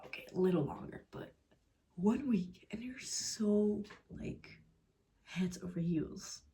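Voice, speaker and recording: A woman's voice: mid-range voice, slow, warm tone, a little hiss or hum. A young Dutch woman giving a casual, blunt book review in English with a Dutch accent. She swears freely and often drops into a whisper. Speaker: book vlogger.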